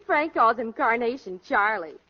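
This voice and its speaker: A young married daughter in an old radio comedy, curious and matter-of-fact. Her voice is high and very mobile in pitch, with a casual, inquisitive delivery. The sound is dulled like an old broadcast. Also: lively intonation, normal speed, muffled recording. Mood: curious, amused. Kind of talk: 1930s-40s radio comedy acting.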